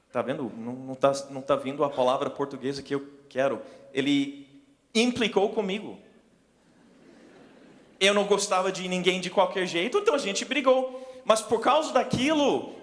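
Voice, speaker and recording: Male preacher, forties, American accent, English native. Notably high voice, halting storyteller delivery, long hall reverb. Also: fairly bright tone. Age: 40s. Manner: self-deprecating, halting search for words. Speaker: preacher, missions speaker. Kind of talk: sermon with personal storytelling. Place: large indoor hall, speaking to an audience.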